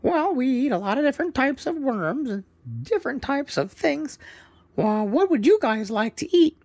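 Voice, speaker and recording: A man's voice: very high voice, expressive, normal speed, a little mellow, no echo, voice pushed up, heavily acted. A man voicing a cheerful, hospitable male rainbow parrot for a children's bedtime story, eager and welcoming, often repeating his phrases.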